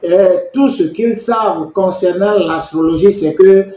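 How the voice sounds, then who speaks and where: A man preaching a sermon in measured phrases, pausing for his interpreter. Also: high voice, mellow. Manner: slow, measured preaching with pauses for translation. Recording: echoey, muffled church recording.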